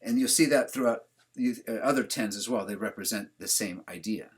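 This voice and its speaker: A man's voice: lowish voice, fairly quick, breathy edge. A man teaching a religious class over a video call, talking casually and putting questions to his students. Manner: conversational, asks the class questions.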